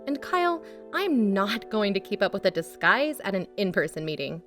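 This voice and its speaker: A woman's voice: medium pitch, big pitch swings, normal speed, music in the background, lightly acted. A woman playing a curious, practical investigator in a scripted podcast drama, talking in a matter-of-fact, conversational way.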